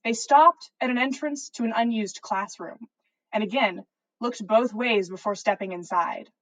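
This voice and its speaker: A woman with a fairly high voice narrates fiction aloud. She reads as a podcast host would, giving the story expression for her listeners. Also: moderate pace, a bit bright, rough recording.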